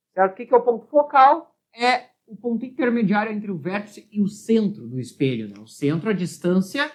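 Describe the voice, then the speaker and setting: A woman with a Brazilian accent working through a physics problem as a teacher, explaining and often checking understanding with short tag questions. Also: medium pitch. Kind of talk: spoken worked-problem lesson.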